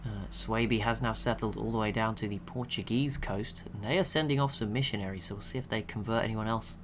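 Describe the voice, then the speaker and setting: A young man giving relaxed, chatty commentary over a strategy game he is watching, laughing to himself now and then. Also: on the low side, brisk, noisy background. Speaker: gaming channel host.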